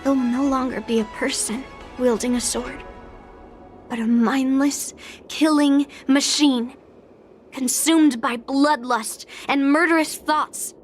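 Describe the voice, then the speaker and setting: A woman's voice: high-pitched, steady tone, slow, soft background music. A woman playing a serious, headstrong young swordswoman in a video game, speaking with defiant determination. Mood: grave explaining. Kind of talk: video game character acting.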